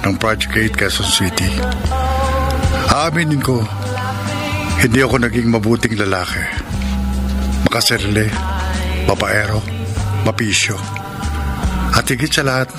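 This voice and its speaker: An actor with a Filipino accent playing a selfish, womanising young man in a radio drama. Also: lowish voice. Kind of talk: radio drama acting and confession narration. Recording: music bed under voice.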